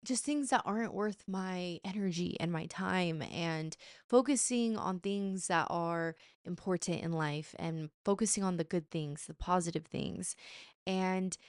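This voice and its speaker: A woman's voice: mid-range voice, animated, slow, clear, resonant tone, no echo, clean studio sound. A woman in her twenties hosting her podcast, chatting casually and playfully with slang asides. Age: 20s. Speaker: podcast host. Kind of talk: casual chat.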